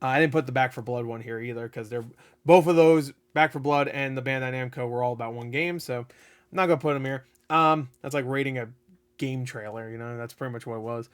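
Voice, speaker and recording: A man hosting his own gaming channel, giving a rambling, excitable review with frequent laughs and the odd swear, his voice getting a little raspy. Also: medium pitch, brisk. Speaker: gaming video host. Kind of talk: casual unscripted review.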